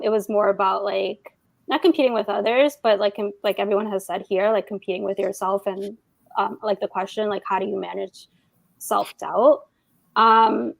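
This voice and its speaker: A woman's voice: mid-range voice, vocal fry, no echo. A woman lawyer on a panel, speaking casually with many fillers. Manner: casual, lots of "like". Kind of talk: panel discussion.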